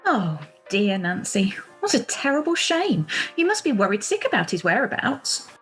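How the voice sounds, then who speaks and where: A woman playing a polite, solicitous and gently insistent friend in a radio play, speaking emphatically. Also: medium pitch, sing-song, normal speed. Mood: sympathetic. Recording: video call recording with music.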